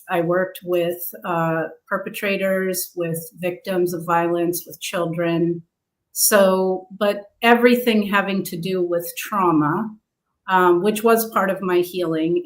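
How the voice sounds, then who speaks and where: A woman guest answering interview questions, halting, with many fillers. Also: fairly low pitch, low-quality recording. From grew up abroad in several countries.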